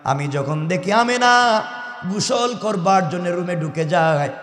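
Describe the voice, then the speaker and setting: A man's voice: sits high, a little slow, fairly bright tone, soft background music. A man with a Bangladeshi accent preaching an Islamic sermon as dramatic storytelling, declaiming and drawing phrase ends into a sing-song chant. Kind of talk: Bengali waz sermon, dramatic religious storytelling. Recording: echoey PA sound with long reverb.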